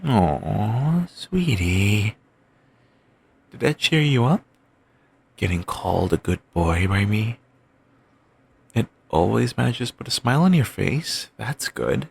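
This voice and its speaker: A young man voicing an obsessive, doting boyfriend in an ASMR audio roleplay, murmuring soft, soothing, controlling reassurances. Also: vocal fry, husky, exaggerated voice. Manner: coaxing, endlessly affectionate, quietly controlling. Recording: home mic, low-quality.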